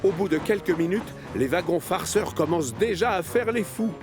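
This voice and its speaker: Higher-voiced male storyteller over prominent music. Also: normal speed. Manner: storyteller-like, expressive. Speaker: narrator. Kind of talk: scripted narration of a children's animated story. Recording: music behind the voice.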